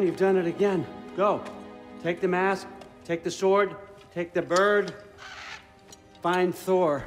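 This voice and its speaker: A sly old ruler of the Norse gods, slow and wry. His voice is fairly high for a man, yet commanding. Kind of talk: video game dialogue acting.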